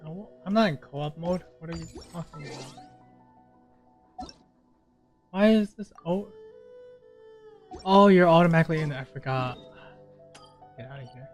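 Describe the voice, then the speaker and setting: A man's voice: a little high, a little slow, long pauses, faint music behind. Man muttering casual gameplay commentary. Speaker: gamer, streamer.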